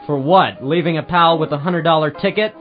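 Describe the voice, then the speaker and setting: An actor playing a hard-boiled, wisecracking private eye in an old-time radio detective drama, with a wry, sardonic delivery. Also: male voice, a little high, fairly quick, close to an everyday voice. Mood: sardonic, annoyed. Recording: muffled amateur radio-drama recording with music.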